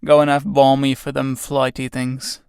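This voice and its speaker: Reader playing a blunt tramp, gruff, grumbling, coarse, close and dry. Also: mid-range voice, flat delivery, normal speed, a little mellow, no room sound, close to an everyday voice. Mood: scornful. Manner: gruff, blunt, dialect-heavy.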